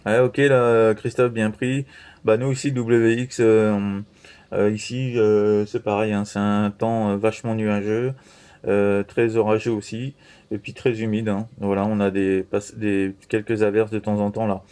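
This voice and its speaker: A man chatting casually as an amateur radio operator, halting and repeating himself with frequent verbal tags. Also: lowish voice, flat delivery, darkish tone, clean tone. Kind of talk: casual CB radio contact chat.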